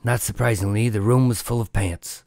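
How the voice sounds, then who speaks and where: A man's voice: on the low side, fairly even intonation, normal speed, creaky, a little mellow. A man who works as a voice actor, joking and clowning casually during a recording session. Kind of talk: joking chat between takes.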